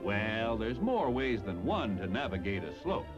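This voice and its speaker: Animated American newsreel man, vintage muffled sound. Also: lowish voice, measured pace, over music. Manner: jaunty, polished announcer delivery with playful wording. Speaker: newsreel narrator. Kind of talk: 1950s-60s military newsreel narration, scripted.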